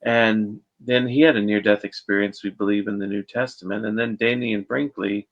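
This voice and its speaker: A man hosting an interview podcast, talking in a relaxed, conversational way with fillers like "you know". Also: on the low side, moderate pace, dull audio. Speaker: podcast host. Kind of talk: casual interview chat.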